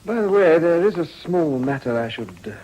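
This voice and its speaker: An actor playing an urbane English gentleman politician with an upper-class accent, formal and dryly pointed in a British period radio drama. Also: fairly high pitch, lively intonation, moderate pace, slight background noise, lightly acted, rough recording. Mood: discreet, formal.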